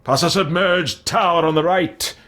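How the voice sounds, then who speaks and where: A man reading aloud as a loving father writing to his son in a video game, with measured, deliberate phrasing. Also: a little high, a bit bright, pitched above the performer's own voice, lightly acted. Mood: fond, instructive.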